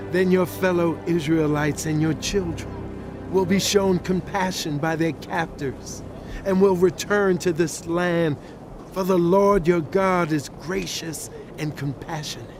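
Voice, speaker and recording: Solemn, exhorting Hebrew king, higher-pitched. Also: fairly even intonation, takes it slowly, over music. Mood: earnest appeal. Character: earnest, devout Hebrew king urging his people. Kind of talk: dramatized scripture acting.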